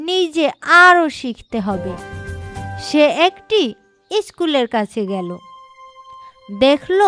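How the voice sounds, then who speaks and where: A woman's voice: high voice, fairly bright tone. A woman narrating a scripted children's story as a storyteller. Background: background music.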